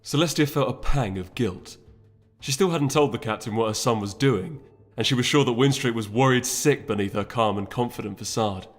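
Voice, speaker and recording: A man reads a fantasy story as its narrator. His voice sits at a middling pitch, with music playing behind the scripted narration. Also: normal speed. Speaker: audiobook narrator.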